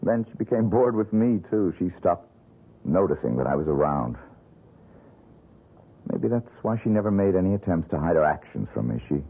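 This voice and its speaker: An actor playing a poor, bitter painter haunted by one recurring nightmare, talking in a brooding, self-mocking way in a radio drama. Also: male voice, low voice, animated, normal speed, gravelly, pauses often, slightly rough, heavily acted. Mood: recounting. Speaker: struggling painter. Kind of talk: 1970s radio drama acting. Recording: tinny old radio broadcast, faint music.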